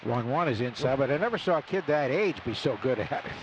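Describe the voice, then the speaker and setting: A man's voice: lively intonation, fast, noisy background. An older American man doing conversational live radio baseball play-by-play from a stadium booth. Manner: conversational, storytelling between pitches. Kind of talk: live radio sports commentary.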